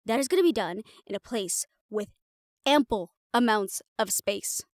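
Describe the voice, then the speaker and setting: A woman's voice: mid-range voice, animated, normal speed, no room sound, studio quality, close to an everyday voice. A woman playing a sarcastic, emphatic young siren who is easily exasperated, in a fantasy audio roleplay. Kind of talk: dramatic audio roleplay acting.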